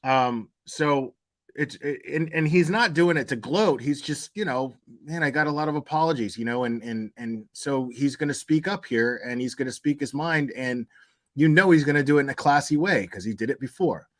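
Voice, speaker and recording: Guy doing casual live commentary on a video, blunt and sweary, mid pitched voice. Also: normal speed, a bit bright. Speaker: live stream host reacting to a video.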